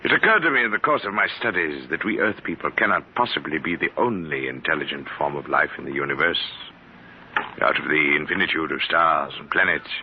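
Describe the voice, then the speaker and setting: A prim, pedantic scholar. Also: male voice, rumbling low, expressive. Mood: earnest. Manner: formal, pedantic, measured. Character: odd, precise scholarly man seeking Martians. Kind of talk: 1950s radio drama acting. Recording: muffled old radio broadcast with hiss.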